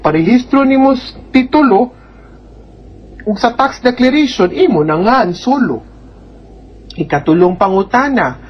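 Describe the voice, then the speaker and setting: A woman's voice: lowish voice, slightly rough, highs cut off. A Filipino woman with a Bisaya accent reads out questions and answers them as an advice host, explaining informally with tag questions. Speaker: host giving legal advice to a letter sender. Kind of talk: advice segment, reading out and answering questions conversationally.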